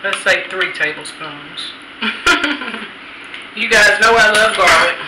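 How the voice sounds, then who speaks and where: A woman's voice: mid-range voice, slow pace, echoey room, heavy background noise. A woman vlogger talking casually to camera while she cooks at home, chatty and thinking aloud, with frequent little laughs. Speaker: cooking vlogger. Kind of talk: casual cooking chat to camera. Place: home kitchen, indoors.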